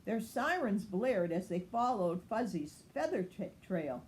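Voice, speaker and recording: Older woman reading slowly and expressively. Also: mid-range voice, very animated, a little mellow, slight background noise. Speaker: grandmother reading a storybook. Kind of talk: children's story read-aloud.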